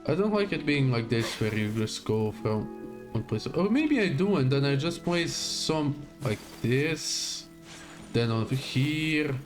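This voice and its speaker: A man's voice: medium pitch, expressive, unhurried, over music. A man giving casual live gameplay commentary, muttering to himself in short fragments. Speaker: game livestreamer.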